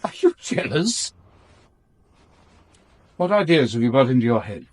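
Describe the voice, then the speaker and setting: An actor playing a smooth-talking, evasive married lover pleading with his mistress in a radio drama, stammering when pressed. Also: mid-range voice, very animated, measured pace. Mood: wary, placating.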